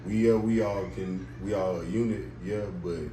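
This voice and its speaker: A young man rapper, the guest, talking in a casual, slangy, laid-back way with a deep voice and lots of "you know what I'm saying.". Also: steady tone, normal speed, mellow. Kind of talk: casual interview chat. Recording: echoey room, distant mic, background noise.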